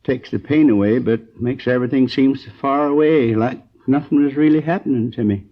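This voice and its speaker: An American man playing an injured, groggy storekeeper in his sixties, rambling haltingly and earnestly in a 1950s radio crime drama. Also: mid-range voice, natural voice. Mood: groggy, hazy. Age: 60s. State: groggy, in pain. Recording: dull old 1950s radio recording.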